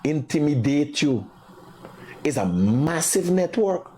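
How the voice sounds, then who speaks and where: A man's voice: fairly high pitch, sing-song, slow, warm tone, a little hiss or hum. A man with a Guyanese accent hosting a live commentary show, speaking emphatically and repeating himself in an accusatory way. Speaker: live morning show host.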